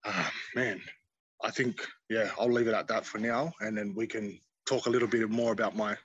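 An Australian man, a podcast guest, telling his life story in an earnest, steady way with many fillers. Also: on the low side, moderate pace, muffled recording, a little hiss or hum. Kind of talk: personal storytelling.

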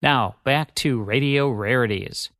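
A man's voice: mid-range voice, fairly bright tone, dry recording. Polished male radio host reading a promo. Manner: brisk, polished delivery. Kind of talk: scripted promo read.

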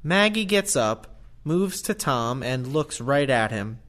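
A man's voice: medium pitch, sing-song, slow pace, a bit bright. A man narrating scripted stage directions for an audio play.

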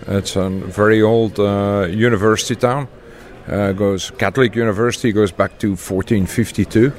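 An older man from Belgium with a Belgian accent, speaking haltingly with many fillers as an interview guest. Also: on the low side, steady tone. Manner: halting, many uhs, chuckles. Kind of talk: casual interview chat.

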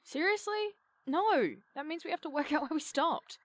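Sceptical young woman, high voice, swooping intonation, sarcastic, grumbling, teasing, audio drama banter. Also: expressive, normal speed, no echo. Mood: amused, reluctant. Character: wry, reluctant young woman, sarcastic sceptical travel companion.

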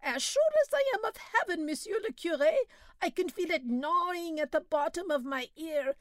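A man's voice: extremely high pitch, expressive, normal speed, no room sound, clean studio sound, pitched above the performer's own voice. A woman reading a panicky peasant man who moans that a bug is in his ear, in a pleading voice. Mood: pained, frantic. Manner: pleading, moaning.

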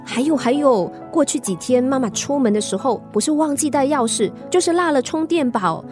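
A woman's voice: high voice, expressive, fairly quick, music in the background. A woman playing a caring, cheeky young granddaughter in a radio drama, with a coaxing, pleading manner. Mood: earnest, worried.

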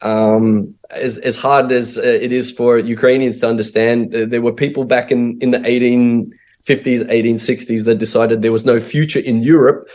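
A man's voice: mid-range voice, level tone, moderate pace, darkish tone. A middle-aged Australian man, a missionary pastor, telling his life story in an interview with many fillers and restarts. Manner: hesitant, full of fillers and restarts.

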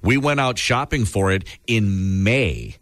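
A man co-hosting a morning radio show, easygoing and quick with jokes as he takes calls. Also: on the low side, expressive, a bit bright, dry recording, clean studio sound. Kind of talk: casual radio chat.

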